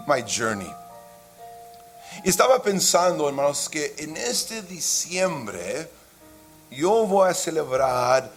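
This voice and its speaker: A man's voice: medium pitch, fairly bright tone. A man preaching a sermon in Spanish, though English is his first language, with impassioned delivery and occasional slips into English. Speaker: pastor.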